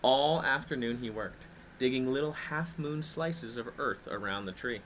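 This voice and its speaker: A man's voice: medium pitch, measured pace, fairly bright tone, slight background noise, rough recording. A man hosting a read-aloud for children, reading a picture book expressively and addressing his young listeners directly.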